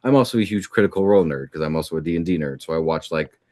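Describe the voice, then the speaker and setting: A young man with a very low, deep voice talks casually about his acting work as an interview guest. He sounds easygoing and reflective and leans on fillers as he thinks. The recording is dry, with no room sound around him. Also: expressive, rapid-fire, warm tone, no room sound. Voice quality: deep natural speaking voice. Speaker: voice actor guest.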